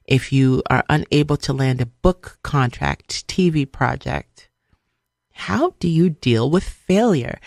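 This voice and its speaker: Woman, middle-aged, from Liberia, low gravelly voice, emphatic motivational radio host, repeats phrases for stress, dry close sound. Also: darkish tone, no room sound. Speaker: radio show host, speaker. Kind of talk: motivational talk on a radio show.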